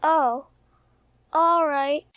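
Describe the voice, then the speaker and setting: A woman's voice: high-pitched, fairly even intonation, very slow, fairly bright tone, dry recording, dull audio, exaggerated voice. A woman voicing a shy, polite, self-doubting little boy in a mid-century radio soap opera, with a hesitant childlike manner. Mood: polite, timid.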